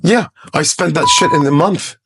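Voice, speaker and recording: A man's voice: on the high side, moderate pace, very noisy. A man in his forties from Lebanon, a guest investor giving blunt, profane, emphatic advice in a casual podcast interview. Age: 40s.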